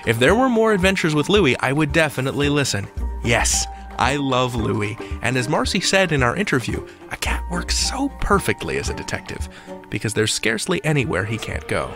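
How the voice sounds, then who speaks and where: An American man hosts a countdown show over a music bed. His pitch leaps around in a lively way, and his delivery is playful and theatrical, full of casual slang. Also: a little fast, gravelly. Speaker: podcast host. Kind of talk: lively audio drama countdown show hosting.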